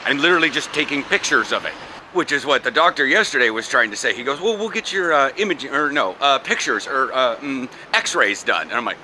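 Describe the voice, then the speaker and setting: A man's voice: mid-range voice, expressive, a little fast, sharp tone. A young man from the United States chatting casually and rambling for a vlog, laughing often, recorded outdoors on city streets and in a car with a handheld camera. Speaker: vlogger. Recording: clear traffic noise outdoors.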